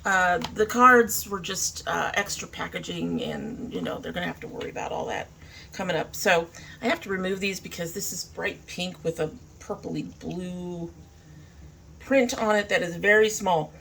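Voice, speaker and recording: A woman's voice: lowish voice, expressive, moderate pace, vocal fry, fairly bright tone, a little hiss or hum. A woman talking informally to camera as a beauty vlogger, thinking aloud in a casual makeup review.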